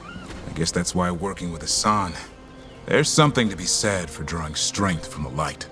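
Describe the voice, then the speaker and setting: An actor playing a restless, gruff but fond elf warrior who handles a griffin, in video game dialogue. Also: male voice, sits low, animated, moderate pace, pushed and bright. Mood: reflective. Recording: music under dialogue.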